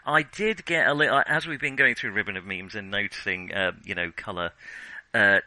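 A man's voice: lowish voice, normal speed, bright, forceful tone, no room sound. A man co-hosting a casual film discussion, thinking aloud in a hesitant way. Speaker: podcast co-host.

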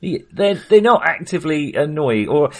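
A man's voice: fairly high pitch, very animated, moderate pace, fairly bright tone. A man co-hosting a casual film discussion in a conversational, hedging manner. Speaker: film podcast co-host.

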